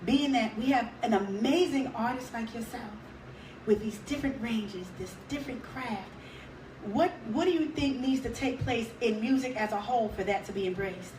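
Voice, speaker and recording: A woman hosting a radio show, talking in a lively, emphatic, enthusiastic way. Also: a little high, normal speed. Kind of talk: casual radio interview. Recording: echoey room, distant mic, noise behind.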